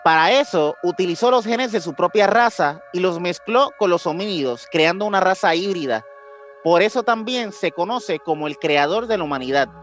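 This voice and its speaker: A man's voice: high-pitched, animated, moderate pace, fairly bright tone. A man with a Latin American Spanish accent co-hosting a podcast, explaining things casually with slang and frequent tag questions. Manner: colloquial slang, seeks agreement with tag questions. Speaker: podcast co-host. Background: music.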